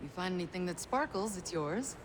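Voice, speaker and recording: An actress as a wily boss, cavernous reverb. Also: female voice, on the low side, lively intonation, fairly quick, lightly acted. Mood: shrewd. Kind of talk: video game cutscene acting. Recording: long hall reverb, noisy ambience.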